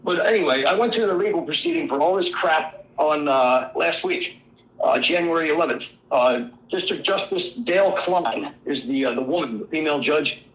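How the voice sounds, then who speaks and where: A man calling in to a talk show, hoarse from illness, rambling through an indignant account of his run-in with the law. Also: fairly high pitch, moderate pace, a bit bright, muffled recording. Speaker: caller. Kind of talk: call-in show chat.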